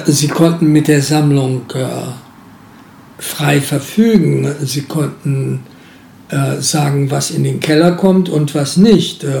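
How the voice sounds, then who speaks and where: A man's voice: a little high, creaky, a little mellow, roomy sound. An older man, a former museum director, recalling his past work in an interview, hesitant and full of filler sounds. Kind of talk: interview recollection.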